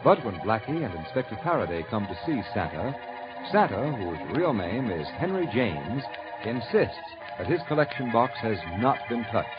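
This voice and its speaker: A man announcing and recapping the story in measured, formal scripted narration. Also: mid-range voice, very animated. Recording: muffled old radio broadcast with loud music.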